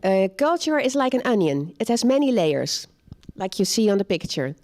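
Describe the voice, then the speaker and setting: A woman presenting a live seminar talk on culture, speaking in a measured, plain way and checking in with her audience. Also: mid-range voice, very animated, a little mellow.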